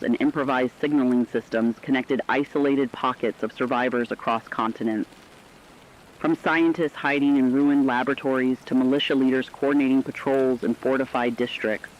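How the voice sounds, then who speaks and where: A man reading dramatic scripted fiction narration in a serious, measured manner. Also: medium pitch, slight background noise. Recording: dull, lo-fi narration track.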